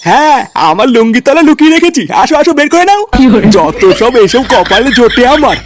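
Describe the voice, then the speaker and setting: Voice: high voice, big pitch swings, brisk, noisy background. A performer voicing an irritable, sarcastic village man in a comic cartoon, brusque and grumbling. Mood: annoyed. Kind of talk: comic cartoon dialogue in colloquial Bengali.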